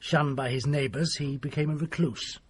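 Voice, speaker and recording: An actor playing a secretive country doctor who tells a grim tale in a grave, hushed manner. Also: male voice, fairly high pitch, fairly even intonation, moderate pace, a little hiss or hum, natural voice. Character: desperate, secretive middle-aged male country doctor. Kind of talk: period radio drama acting.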